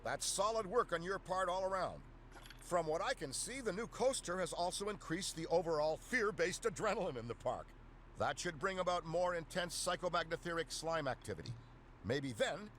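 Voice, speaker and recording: Animated, eager Ghostbuster scientist. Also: male voice, fairly high pitch, normal speed, a little hiss or hum. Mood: upbeat, intrigued. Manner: earnest, animated, dramatic. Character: eager veteran Ghostbuster scientist, an excitable middle-aged man. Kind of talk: scripted video game voice acting.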